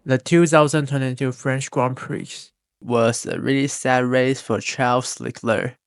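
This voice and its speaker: A man's voice: medium pitch, steady tone, unhurried, smooth, dry recording, studio quality. A man reading scripted narration as a fan commentator, with non-native phrasing and grammar slips.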